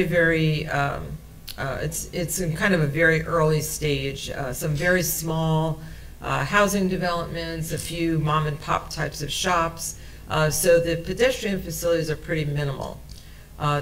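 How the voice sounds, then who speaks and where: Gravelly-voiced woman presenting at a podium. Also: sits low, fairly bright tone, slight background noise, poor audio. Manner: conversational, with many fillers and restarts. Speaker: transit project presenter. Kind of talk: conference presentation. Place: hotel meeting room, indoors. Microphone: podium microphone.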